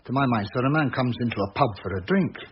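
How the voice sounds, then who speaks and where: An actor playing an opinionated pub landlord with a pompous, flowery way of talking, in a radio drama. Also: male voice, mid-range voice, expressive, fast talker, close to an everyday voice. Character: opinionated, long-winded male pub landlord. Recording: muffled radio recording, clear noise.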